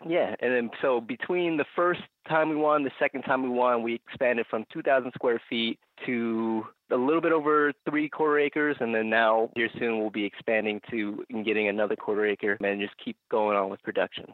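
A young man from Phoenix answers interview questions in eager, upbeat bursts. His voice comes through a thin, phone-like line with no room sound, and sits at an ordinary middle pitch. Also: steady tone, moderate pace, few pauses, dry recording. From Phoenix, Arizona. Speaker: guest, urban farmer.

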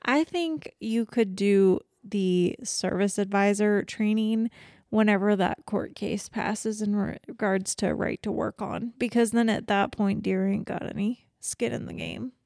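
A woman co-hosting a podcast in easy, casual chat, blunt and quick to swear and laugh. Her voice has a gravelly, creaky edge, and the sound is dry and close with no room echo. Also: mid-range voice, darkish tone. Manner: blunt, profane, laughs often. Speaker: podcast co-host.

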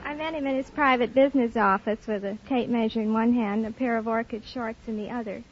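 A woman's voice: fairly high pitch, steady tone, brisk. A woman answering a host's questions as a contestant on an old radio quiz show. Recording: dull, noisy old radio, loud audience. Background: studio audience.

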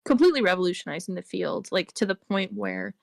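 A woman's voice: mid-range voice, lively intonation, fast, creaky, dry recording, clean studio sound. A woman being interviewed on a podcast, explaining at length in a casual way, with frequent "like" and "um". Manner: explains at length, with many fillers like "like" and "um". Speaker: interview guest.

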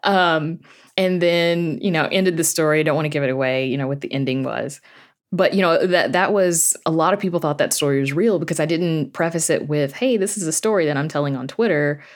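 A woman's voice: low-pitched, brisk. A woman chatting informally as an interview guest, telling anecdotes in a conversational way with quoted asides. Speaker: podcast guest, horror fiction writer and audio drama creator.

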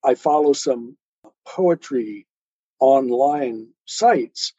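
A man's voice: medium pitch, very slow, dark tone, no echo. A man answering as an interview guest in a conversational, earnest and explanatory way, on a lo-fi recording. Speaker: interview guest, poet and author. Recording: dull lo-fi sound.

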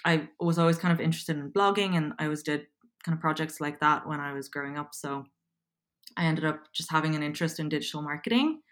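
A woman's voice: sits low, quick pace. A woman in her twenties with an Irish accent, chatting casually as an interview guest and filling her talk with likes and ums. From Poland. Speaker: guest, copywriter.

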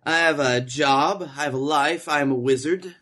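A man's voice: on the high side, moderate pace, sharp tone. A young man co-hosting a podcast, telling casual comic stories with wry mock-seriousness and some swearing. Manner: wry mock-seriousness, sweary, acts out his own thoughts. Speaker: podcast co-host. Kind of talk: casual comedic storytelling chat.

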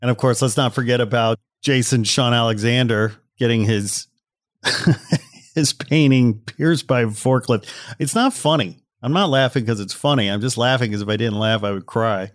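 A man's voice: medium pitch, normal speed, dry recording, studio quality. A man hosting a podcast in a casual, wry, conversational way, speaking straight to the listeners. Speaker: podcast host.

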